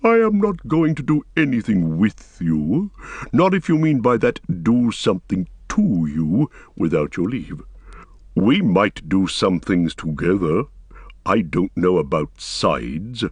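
A man voicing an ancient, patient tree giant in an audiobook, speaking slowly with drawn-out humming pauses. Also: on the low side, big pitch swings, a little mellow, husky, no room sound, exaggerated voice. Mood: measured. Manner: slow, drawn-out, humming hmms. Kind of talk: audiobook character voice.

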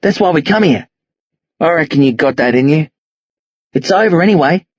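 A man's voice: on the high side, expressive, normal speed, dry recording, highs cut off. A man voicing an angry, defiant teenage Australian boy in an audiobook, blunt, slangy and confrontational. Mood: impatient, pressing. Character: angry accusing teenage boy, rough, foul-mouthed, defiant.

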